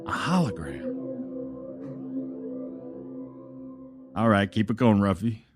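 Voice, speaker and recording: A man's voice: on the low side, animated, normal speed, over music, natural voice. A man playing a laid-back, sarcastic radio disc jockey of the future, savoring his words in loose patter. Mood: sarcastic, amused. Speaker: radio host.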